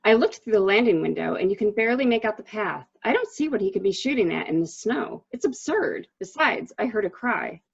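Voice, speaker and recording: A woman performs an uneasy wife in a snowbound murder mystery. Her voice is husky with marked fry. The sound is dull and lo-fi. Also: medium pitch, expressive, brisk, few pauses. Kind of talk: dramatic reading of a murder mystery.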